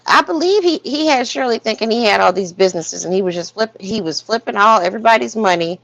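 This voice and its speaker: A woman's voice: mid-range voice, expressive, fast, vocal fry, a bit bright, no room sound. A woman giving casual, opinionated commentary on a livestream panel, thinking aloud and circling back over her phrases as she goes. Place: remote livestream call, dry sound. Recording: muffled lo-fi livestream audio.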